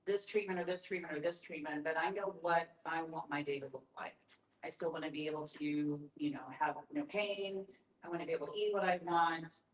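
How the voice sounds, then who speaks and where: A woman explaining her view in a group discussion, speaking out first-person examples as she goes. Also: low voice. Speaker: discussion participant. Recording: echoey room.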